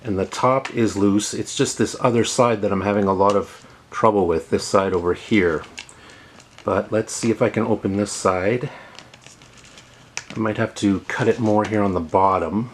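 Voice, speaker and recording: A man's voice: on the low side, fairly even intonation, moderate pace, slight background noise. A middle-aged man giving casual hands-on tutorial narration, explaining plainly and repeating words for emphasis. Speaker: host of a how-to tech repair video.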